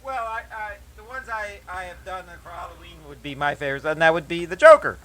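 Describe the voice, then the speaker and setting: A man's voice: high voice, animated, fairly quick, fairly bright tone. A man co-hosting a talk show, rambling and laughing between lines. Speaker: co-host. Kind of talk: casual banter.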